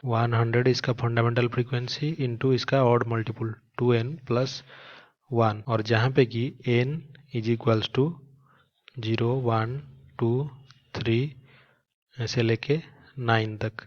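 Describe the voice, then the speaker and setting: A man's voice: mid-range voice, level tone, takes it slowly, long pauses, highs cut off. A man with an Indian accent speaking as a physics teacher, explaining a problem step by step and mixing in English terms. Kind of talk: step-by-step problem-solving lesson.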